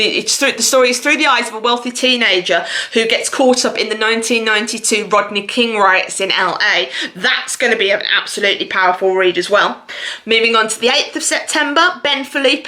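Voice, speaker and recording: A woman's voice: fairly high pitch, brisk, sharp tone. A woman hosting a chatty book vlog, talking straight to her viewers in an enthusiastic, gushing way. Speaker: book reviewer and video host.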